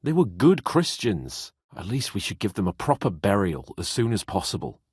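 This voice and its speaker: A cocky young British lordling from a video game, petulant and sardonic. His pitch swings wildly and his voice has a husky rasp. The recording is close and dry. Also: very animated, moderate pace, darkish tone, dry recording, full character voice. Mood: sober. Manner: sardonic, indignant, posh and foul-mouthed. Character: haughty, hot-tempered young nobleman, male, cocky and petulant. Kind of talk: dramatic video game voice acting.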